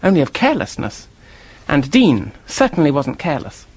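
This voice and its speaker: An actor playing an earnest, defensive office worker in a radio mystery, husky-voiced, hesitant and blurting, with a temper underneath. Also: male voice, on the high side, huge pitch swings, normal speed, no echo, full character voice, low-quality recording. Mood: frank, earnest. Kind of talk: radio drama acting, detective mystery.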